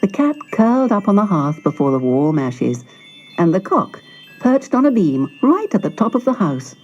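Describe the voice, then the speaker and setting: A woman narrating a children's fairy tale in an expressive, dramatic storytelling manner. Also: medium pitch, normal speed, breathy edge, dull audio. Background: music.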